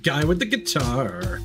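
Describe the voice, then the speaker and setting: A man giving casual, rambling, enthusiastic video game commentary as a livestreamer, swearing freely. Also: a little high. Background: game music.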